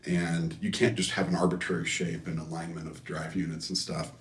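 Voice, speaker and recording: A man being interviewed as a designer, explaining technical matters casually and haltingly, often correcting himself. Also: low-pitched, level tone. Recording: echoey room, distant microphone.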